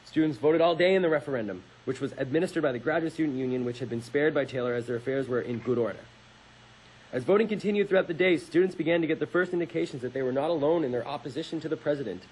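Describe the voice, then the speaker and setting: A man's voice: a little high, rapid-fire, darkish tone, slight background noise, low-quality recording. A young adult man from Canada speaking as a radio show host in a studio. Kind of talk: casual radio talk and dramatic reading.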